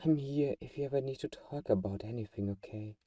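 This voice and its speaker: A man playing a doting but secretly manipulative vampire friend in an ASMR roleplay, soft-spoken and fussing, with a mellow, dark tone. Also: mid-range voice, a little fast, no room sound, distant mic, natural voice. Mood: fussing, concerned.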